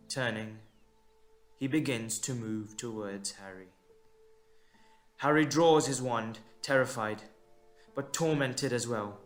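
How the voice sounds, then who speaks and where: A man narrates a dramatic audio story with slow, measured suspense. His voice sits at a medium pitch, and he leaves deliberate pauses between phrases. Soft music plays behind him.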